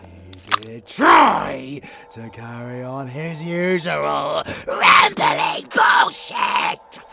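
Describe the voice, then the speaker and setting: A man voicing a gleefully sadistic, mocking monster in an audio roleplay, theatrical and taunting, lurching between hush and outburst. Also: on the high side, extremely slow, creaky, over music, exaggerated voice.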